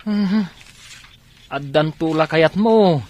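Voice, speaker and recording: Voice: mid-range voice, takes it slowly, a little mellow, lo-fi sound, close to an everyday voice. An actor playing a hesitant friend pressed about money in an Ilocano radio drama. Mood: grudging. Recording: music bed under dialogue.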